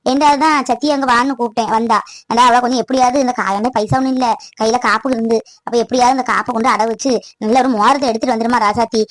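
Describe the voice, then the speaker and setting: A woman's voice: fairly high pitch, lightly acted, low-quality recording. A woman playing a protective middle-aged aunt in a family drama, chatty and insistent, with a colloquial southern Tamil Nadu accent.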